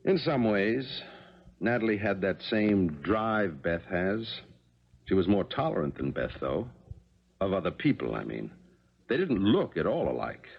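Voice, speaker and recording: An actor playing a gentle, placating middle-aged husband and father in a 1970s radio drama, earnest and coaxing. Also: low-pitched, very animated, unhurried, vocal fry, breathy edge, exaggerated voice. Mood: reflective. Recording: muffled old radio recording.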